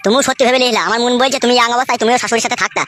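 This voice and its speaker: Someone voicing a fast, furious young son-in-law. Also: medium pitch, steady tone, a bit bright, no room sound, close to an everyday voice. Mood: indignant. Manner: scolding, argumentative, crude. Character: angry offended young son-in-law, foul-mouthed and stubborn. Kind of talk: comedy cartoon dialogue.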